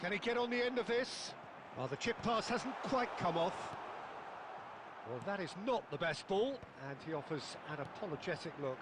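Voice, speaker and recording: A man giving measured play-by-play football commentary that lifts sharply on chances. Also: high voice, lively intonation, background noise. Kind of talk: scripted match commentary.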